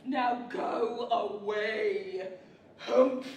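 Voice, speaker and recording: An echoey stage recording of a sullen, haughty camel. The voice is high and drags very slowly, grumbling lazily as in an old radio play. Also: female voice, darkish tone. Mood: haughty, defiant. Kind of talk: 1940s-style radio theater acting. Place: large library event room, live stage. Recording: distant, echoey live hall with faint noise.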